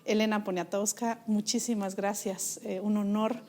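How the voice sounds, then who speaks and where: A woman's voice: mid-range voice, level tone, moderate pace, crowd noise. Young woman hosting with hesitant fillers. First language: Mixe. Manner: warm, hesitant, with many fillers. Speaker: interview host. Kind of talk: conversational interview.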